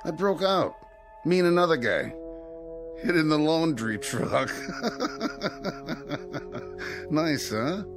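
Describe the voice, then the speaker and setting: Radio play role: naive male escaped con, slow drawn-out delivery, hugely animated, affable and slow-witted. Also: a little high, over music, heavily acted. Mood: affable, sheepish. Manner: folksy, slow-witted, ingratiating. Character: dim, affable male escaped-convict brother, naive and chatty. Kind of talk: radio drama acting.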